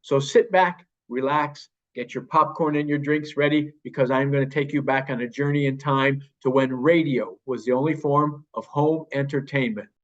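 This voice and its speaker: A man's voice: medium pitch, steady tone, moderate pace, hardly any pauses. A man introducing a show as its host, addressing listeners directly. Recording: slightly dull, lo-fi voice recording.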